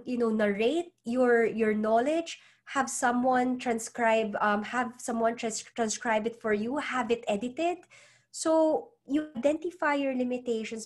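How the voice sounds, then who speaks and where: A woman for whom English is a second language, a psychologist and author coach giving advice as an interview guest, earnest and often repeating words as she finds her phrasing. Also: on the high side. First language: not English.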